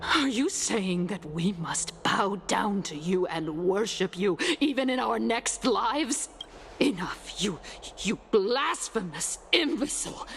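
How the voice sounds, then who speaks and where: A woman's voice: mid-range voice, lively intonation, takes it slowly, creaky, slight background noise. An actress playing a haughty, indignant female council elder who sputters with outrage in a fantasy game. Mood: outraged. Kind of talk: dramatic fantasy game voice acting. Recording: background music.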